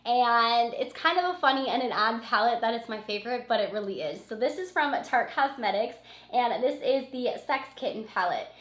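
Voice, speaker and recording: A woman's voice: on the high side, expressive, normal speed, a bit bright, echoey room. A woman beauty vlogger with a cold and a scratchy, sniffly voice, chatting casually and gushing about her favorites, repeating words for emphasis. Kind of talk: casual product review chat.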